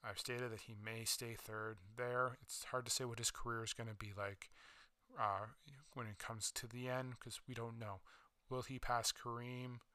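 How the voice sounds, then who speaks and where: Man, podcast host, casual sports talk, crisp and even, dry close sound. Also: mid-range voice, moderate pace, dry recording. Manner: casual, many fillers and restarts. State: slightly losing his voice. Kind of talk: solo sports podcast ranking.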